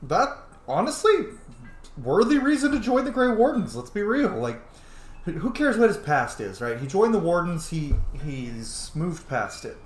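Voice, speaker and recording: A man's voice: high-pitched, very animated, unhurried, soft background music. A man hosting a gaming series with casual, informal commentary and rambling asides. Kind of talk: casual let's-play commentary. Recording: echoey room, faint noise.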